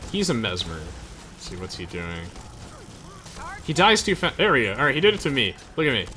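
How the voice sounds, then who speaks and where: A man's voice: medium pitch, very animated, a little fast, sharp tone. A young man hosting a casual let's play, explaining the game with enthusiasm. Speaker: gaming video host. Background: faint game music.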